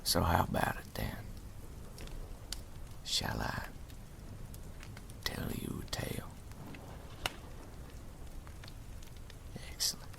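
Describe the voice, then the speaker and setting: A man playing a wry animal storyteller in a campfire ASMR roleplay, speaking slowly and ominously in a gravelly half-whisper. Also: natural voice. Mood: inviting, hushed. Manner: slow, deliberate, folksy, ominous.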